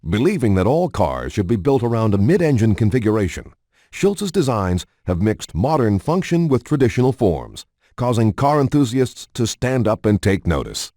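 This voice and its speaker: Male announcer, lowish pitch, very expressive range, emphatic scripted promo narration, dry studio-style sound. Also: no echo. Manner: polished, emphatic announcer delivery.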